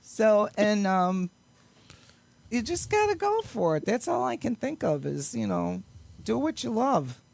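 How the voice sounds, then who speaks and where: A middle-aged woman speaks at an average pitch with plenty of creak in her voice. She talks casually, like a guest being interviewed, wandering from thought to thought with real enthusiasm. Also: big pitch swings, unhurried, darkish tone. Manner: rambling, chatty, enthusiastic. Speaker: guest, amateur actress and singer.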